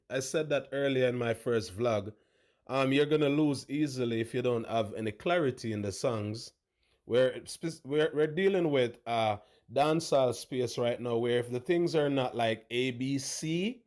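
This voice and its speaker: A man with a big voice, hosting a casual commentary vlog in a loud, hyped way. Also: mid-range voice. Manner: loud, hype, calls viewers fam. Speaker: host.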